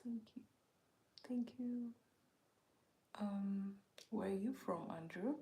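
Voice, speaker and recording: A woman from Uganda hosting a casual livestream, speaking softly and often near a whisper as she greets viewers by name and reads their comments. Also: medium pitch, slow, echoey room. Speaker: livestream host.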